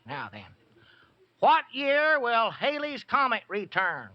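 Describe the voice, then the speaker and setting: A man's voice: very high voice, huge pitch swings, slow, no room sound, heavily acted. A man playing a folksy, flustered country fellow posing as a professor, in old radio comedy style. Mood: muddled, fussy. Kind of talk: 1940s rural radio comedy acting. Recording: noisy, dull old radio recording.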